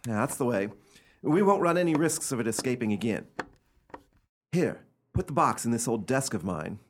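A man playing an imperious, coolly cruel, excitable gentleman heir in an old-fashioned radio play, speaking in a theatrical, commanding, formal way. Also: medium pitch, moderate pace, a little hiss or hum, lightly acted. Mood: urgent, commanding.

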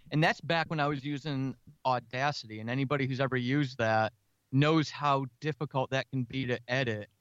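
Smooth-voiced man, earnest podcast guest. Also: medium pitch, fairly bright tone, no room sound, muffled recording. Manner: earnest, self-critical, explains at length in halting phrases. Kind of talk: reflective interview talk about craft.

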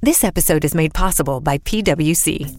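A woman with a slightly low voice delivers scripted corporate ad copy as an announcer. Quiet music sits behind her. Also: fairly quick, no echo, clean studio sound. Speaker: advertisement announcer.

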